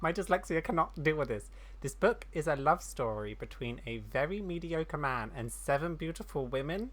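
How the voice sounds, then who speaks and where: Game streamer, mid-range voice: casual commentary, reads on-screen text haltingly, self-deprecating. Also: medium pitch, animated, fairly bright tone. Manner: stumbles while reading aloud, self-deprecating. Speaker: gaming streamer. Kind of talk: casual game playthrough commentary and reading dialogue aloud.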